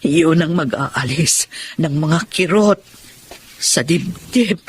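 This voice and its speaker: A woman's voice: low-pitched, takes it slowly, breathy edge, full character voice. A woman with a Filipino accent acting an anguished, grieving middle-aged mother in an emotional, tearful radio drama style. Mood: sorrowful, reflective. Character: grieving mother of a dead eldest son, anguished.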